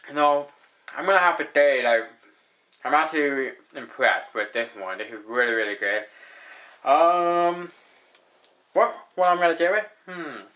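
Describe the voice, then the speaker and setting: A man's voice: a little high, lively intonation, unhurried, long pauses, sharp tone, highs cut off. A young man hosting a casual beer review at a table in a small room, rambling with lots of fillers and repeating "actually". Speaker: host of a beer review show.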